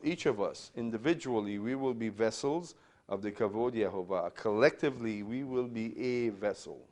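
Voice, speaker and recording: A man's voice: mid-range voice, darkish tone. An older man from Trinidad, a pastor giving a conversational Bible lecture to a class, often checking in with "right?" and repeating his words.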